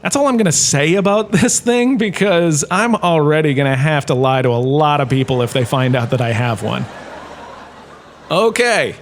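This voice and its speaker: An actor playing a washed-up former star turned lecturer, giving a breezy, joking lecture in a comic audio drama. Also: male voice, on the high side, expressive, normal speed, slight background noise. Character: washed-up former star, now a lecturer, chatty and self-important.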